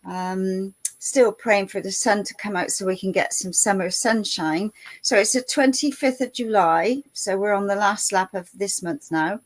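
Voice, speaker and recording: Chatty woman hosting. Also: medium pitch. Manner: friendly, chatty, filler-laden. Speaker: show host. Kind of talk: interview host.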